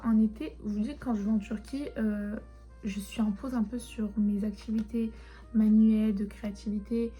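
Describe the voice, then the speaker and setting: A young woman whose first language is Turkish chats casually, rambling softly and often dropping into a half-whisper. Her voice sits a little high but stays flat and mellow. Faint music plays behind her. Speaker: vlogger. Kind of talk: casual chat showing souvenirs.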